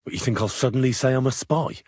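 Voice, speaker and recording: An actor playing an earnest, inquisitive young medieval blacksmith turned adventurer in a video game, speaking in a plain-spoken way. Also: male voice, medium pitch, fast, a little mellow, breathy edge, no room sound, studio quality, natural voice. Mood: probing, determined. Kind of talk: video game dialogue lines.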